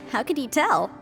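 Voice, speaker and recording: A woman playing an analytical, polite young investigator in a video game, measured, articulate and thoughtful. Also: high-pitched, vocal fry, a bit bright, heavily acted. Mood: friendly.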